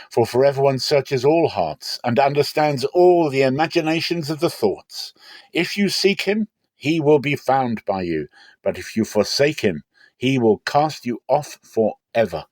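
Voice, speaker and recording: A man's voice: medium pitch, a little mellow, no room sound, close to an everyday voice. A man playing an aging, fatherly king of Israel, solemn and commanding, in a declamatory scripture reading. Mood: earnest, commanding. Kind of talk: dramatic scripture storytelling.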